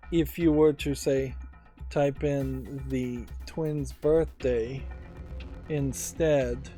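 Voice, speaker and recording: A man's voice: mid-range voice, mellow. A man hosting a let's play, giving casual game commentary in a musing, analytical way.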